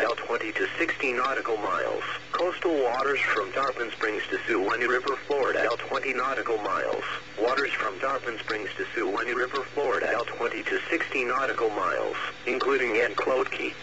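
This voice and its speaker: A man reading a scripted weather alert bulletin in a formal, stilted automated manner over weather radio. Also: mid-range voice. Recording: muffled, noisy weather radio broadcast.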